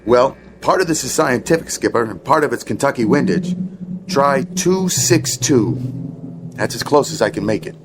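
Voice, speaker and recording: An actor playing a dry, folksy airliner navigator with a matter-of-fact, wry manner, in old-time radio drama style. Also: male voice, medium pitch, animated, normal speed, heavy background noise, lightly acted. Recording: loud dramatic music underneath.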